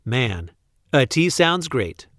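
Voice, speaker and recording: Male storyteller for a picture book: lively, swooping intonation, unhurried delivery, playful emphasis, close dry sound. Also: medium pitch, dry recording. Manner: deliberate, playful emphasis on repeated sentences. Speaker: read-aloud storyteller. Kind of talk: expressive picture book read-aloud.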